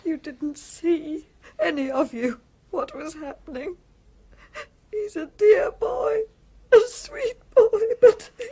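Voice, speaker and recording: A woman playing a shrewd, knowing carer in a radio play, coaxing and deliberate with dramatic intensity. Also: very high-pitched, warm tone, heavily acted. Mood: overwrought.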